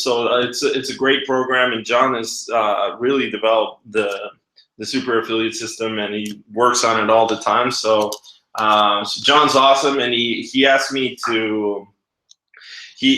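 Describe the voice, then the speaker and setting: A man's voice: mid-range voice, sharp tone. A man in his thirties from Guatemala hosting a casual webinar, chatty and slangy in an informal way. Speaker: webinar host and marketing coach. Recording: echoey room.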